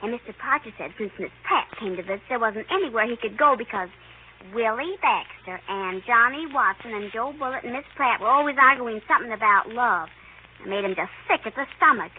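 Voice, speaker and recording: A pert little girl acted by a woman tattles bluntly in a high, childish voice. It is 1930s radio acting on a tinny, narrow-band recording with slight noise. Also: animated, brisk, close to an everyday voice. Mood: gleeful tattling.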